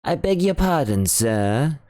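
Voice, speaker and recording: A man doing a high, fluttery anxious butler. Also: very animated, slow pace, mellow. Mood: shocked. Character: fussy male butler, anxious and deferential. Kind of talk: audiobook character voice.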